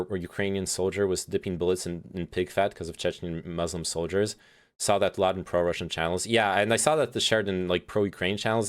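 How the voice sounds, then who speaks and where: A man's voice: sits low, clean tone. A young man streaming, chatting about politics with many fillers and restarts, swearing freely as he reads and answers chat. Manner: reads chat messages aloud and reacts.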